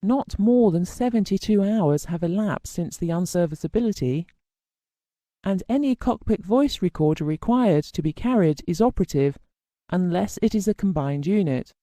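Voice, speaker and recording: A narrator reading a scripted technical lesson in a measured, formal, explanatory way. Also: mid-range voice, lively intonation, mellow, no echo, studio quality. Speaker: instructional narrator.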